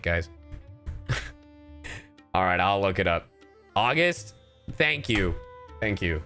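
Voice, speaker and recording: A man livestreaming to his viewers, chatting in an expressive, theatrical, sweary way. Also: mid-range voice, clean tone. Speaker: streamer, voice actor and cover singer. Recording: music behind. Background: music.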